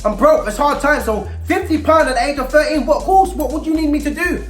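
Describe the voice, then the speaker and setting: A man with a London accent speaks in street slang, telling a personal story to young people. His delivery is animated and emphatic, slipping into quoted dialogue. The room rings with echo, and faint music plays underneath. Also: very high voice, a little fast. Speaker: storyteller and youth mentor.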